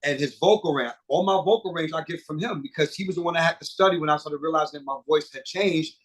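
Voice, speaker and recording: Animated middle-aged man, fairly high voice. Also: quick pace, low-quality recording. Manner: animated, swears freely, many fillers and restarts. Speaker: guest singer and producer. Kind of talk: casual interview chat.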